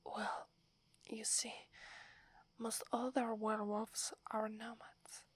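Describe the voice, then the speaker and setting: A young female werewolf warrior, acted by a woman with an Italian accent, devoted and humble before her leader. Her voice is a very breathy, airy whisper. She speaks slowly and deferentially, in the intimate style of an ASMR roleplay. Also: fairly high pitch, level tone, no room sound. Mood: reverent, wondering. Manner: slow, hushed, deferential.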